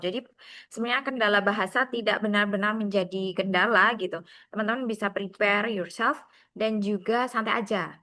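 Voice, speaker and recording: A woman hosts and wraps up an online sharing session. She talks in a friendly, brisk way and slips in English phrases. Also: mid-range voice, a bit bright. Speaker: session moderator.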